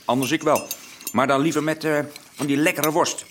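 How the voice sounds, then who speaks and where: An actor playing a teasing, keen teenage brother in a Dutch radio play, boyish, with a Netherlandic accent. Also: male voice, a little high, fairly even intonation, normal speed, fairly bright tone, slight background noise, lightly acted. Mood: playful, fond. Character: teasing, keen teenage brother who dotes on his dog. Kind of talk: radio drama acting.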